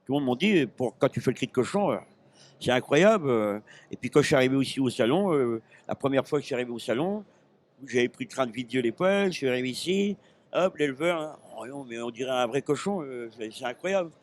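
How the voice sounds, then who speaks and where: A man's voice: medium pitch, animated, fast talker. A man in his fifties from Normandy, a guest chatting in a rambling, hesitant way with many restarts, on a handheld mic at a busy fair. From Normandy, France. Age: 50s. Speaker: interview guest, pig-call champion. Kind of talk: casual chat. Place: busy agricultural fair stand.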